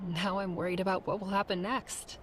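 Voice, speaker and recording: A woman voices a young female hunter from a video game, wary and curious. Her pitch is average for a woman, and soft music runs faintly underneath. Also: flat delivery, brisk, close to an everyday voice.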